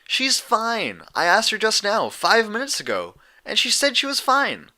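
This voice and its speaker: Man doing a brash female pegasus pony, raised pitch, very sharp, blunt, impatient, storybook character. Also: wildly swooping pitch, measured pace, exaggerated voice. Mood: exasperated. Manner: blunt, exasperated, protective.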